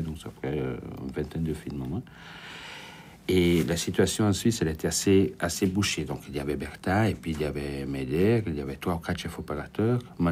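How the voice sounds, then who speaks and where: A man's voice: rumbling low, darkish tone. A man from Ticino, a cinematographer telling his career story in a radio interview, candid and sometimes trailing off. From Ticino, Switzerland. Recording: radio studio with faint background noise.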